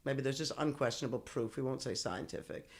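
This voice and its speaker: A woman's voice: extremely low pitch, brisk. A woman guest on a podcast, telling stories in casual chat with lots of swearing and laughter. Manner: profane, laughing, animated storyteller.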